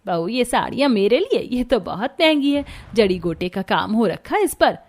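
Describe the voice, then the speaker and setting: Woman doing older indian saas in a cartoon, fussy, fawning and scolding, highish voice. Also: sing-song, normal speed, darkish tone. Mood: gushing, doting. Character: older mother-in-law, doting on the rich daughter-in-law. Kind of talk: Hindi cartoon family story acting.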